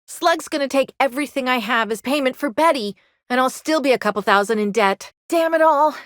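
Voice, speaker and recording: An actress playing an anxious, earnest mechanic in a video game, with a folksy Southern US drawl and an apologetic manner. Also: female voice, sits high, fairly quick, a bit bright, no room sound, studio quality. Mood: distraught. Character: anxious, earnest young colony mechanic woman fond of her robot.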